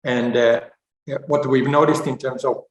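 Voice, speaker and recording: A man with a Finnish accent speaks at an online conference from an echoey room. His voice is mid-pitched, and he talks haltingly, repeating words as he builds his point. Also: steady tone. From Finland. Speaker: conference speaker, climate initiative representative. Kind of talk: spontaneous remarks at an online conference.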